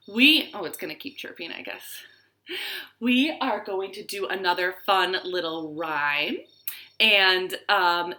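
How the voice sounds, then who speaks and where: A woman reading stories and rhymes aloud to young children in an expressive, deliberate way, recorded in a living room. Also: lowish voice. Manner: expressive, deliberate, asks the listeners questions. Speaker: children's librarian storyteller. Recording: roomy, echoing living-room sound.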